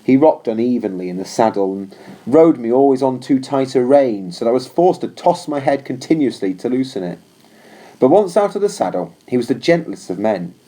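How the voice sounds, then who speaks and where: A man's voice: mid-range voice, expressive, brisk, warm tone, low-quality recording. A man reading a scripted story aloud as narrator of an audiobook. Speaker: audiobook reader.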